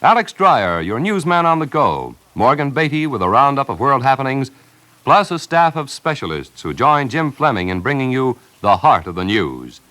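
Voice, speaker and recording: A man's voice: medium pitch, big pitch swings, normal speed, bright, forceful tone. An American man announcing programmes in a polished, jaunty, scripted 1950s radio style.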